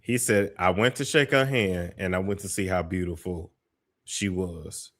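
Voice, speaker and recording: A man's voice: sits low, moderate pace, fairly bright tone. Skeptical man doing gossipy reaction commentary. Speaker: commentary show host. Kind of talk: reaction commentary on a played clip.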